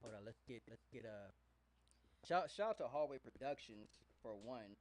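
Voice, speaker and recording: A man's voice: mid-range voice, lively intonation, moderate pace, darkish tone. A man hosting a live studio stream, chatting casually in slang and repeating short phrases. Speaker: livestream host at a music studio. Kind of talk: casual live-stream chat and sound check. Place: music studio during a live session.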